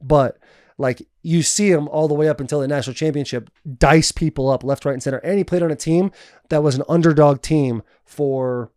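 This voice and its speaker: Mellow-voiced man in casual sports chat. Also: on the high side, fairly quick, no echo, clean studio sound. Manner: relaxed, talks in long runs with fillers like "dude". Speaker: podcast co-host, former football player.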